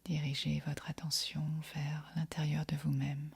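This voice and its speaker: A woman leads a guided meditation in a very breathy, husky near-whisper. Her voice is low and stays on an even, gentle line. She gives each instruction softly, then leaves room before the next. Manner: soft, soothing, unhurried instruction with long pauses. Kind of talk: guided yoga nidra meditation.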